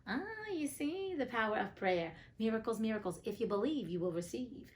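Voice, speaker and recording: A woman's voice: fairly high pitch, big pitch swings, moderate pace. A woman hosting a storybook reading, moving between narration and casual, outspoken, colloquial asides. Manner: sassy, outspoken, colloquial commentary. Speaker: host reading classic tales aloud.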